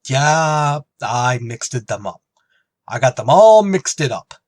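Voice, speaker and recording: A man's voice: medium pitch, very animated, slow, a little mellow, dry recording, clean studio sound. An actor playing a dim-witted male bar regular in an old-time radio comedy, bumbling through his lines. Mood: confused.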